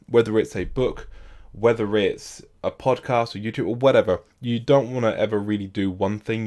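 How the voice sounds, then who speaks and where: A man with a croaky voice, rambling casually to camera about learning languages, with lots of fillers and restarts. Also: lowish voice, darkish tone. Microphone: camera mic.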